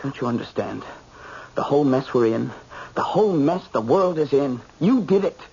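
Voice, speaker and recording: An actor playing an angry, self-righteous young American son, argumentative and insistent, in an old-time radio drama. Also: male voice, medium pitch, expressive, moderate pace, gravelly, a little mellow, breathy edge. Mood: accusing, agitated. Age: young adult. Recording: muffled, noisy old radio recording.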